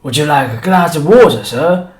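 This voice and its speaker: A man's voice: on the high side, animated, moderate pace, roomy sound, voice pushed up, natural voice. A man voicing a bullying, fawning, greedy sideshow shopkeeper for an audiobook, with emphatic, servile delivery. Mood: fawning, boastful. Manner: fawning, emphatic, says sir repeatedly. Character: loud, grinning, greedy male sideshow shopkeeper, bullying and obsequious. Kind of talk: audiobook character voice.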